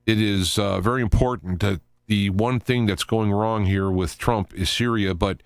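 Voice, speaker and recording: Low-voiced man rambling on talk radio. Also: fairly even intonation, gravelly, clean tone, no room sound, clean studio sound. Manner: rambling, halting, stop-start delivery with digressions. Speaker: host of a live political talk radio show. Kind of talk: live unscripted talk commentary.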